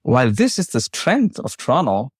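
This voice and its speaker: A man, a physician for whom English is a second language, hosting a podcast interview with measured, formal, carefully built questions. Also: mid-range voice, very animated, slightly rough, no room sound, clean studio sound. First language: not English. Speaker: host, physician.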